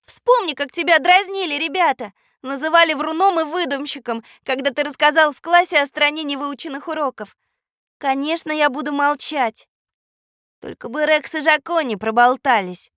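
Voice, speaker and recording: A woman voicing an excitable young schoolgirl in an audio storybook, indignant, pleading and easily frightened. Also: very high voice, normal speed, dry recording, studio quality, pitched above the performer's own voice. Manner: exclamatory, pleading. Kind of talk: audio storybook character acting.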